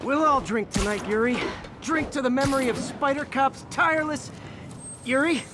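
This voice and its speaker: A man's voice: very high-pitched, moderate pace, noisy background. A man with an American accent playing a young, eager, nervy masked superhero in a video game, quippy and mock-dramatic. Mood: joking. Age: young adult. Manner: quippy, mock-dramatic, stammers when flustered.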